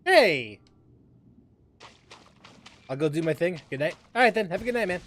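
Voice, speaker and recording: A man giving casual, rambling live commentary as a game streamer, halting as he searches for words. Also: sits high, very animated, a bit bright.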